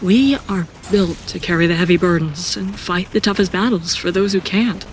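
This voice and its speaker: A woman playing an introspective seasoned warrior who narrates her own story in an audio drama, reflective and deliberate. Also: fairly low pitch, slight background noise, lightly acted. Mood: pensive. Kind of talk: first-person scripted audio drama narration.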